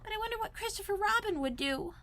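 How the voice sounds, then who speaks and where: A very small piglet from a storybook, high and squeaky. The voice is bright, timid and fretful, swinging up and down with plaintive worry. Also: female voice, expressive, quick pace. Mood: anxious musing.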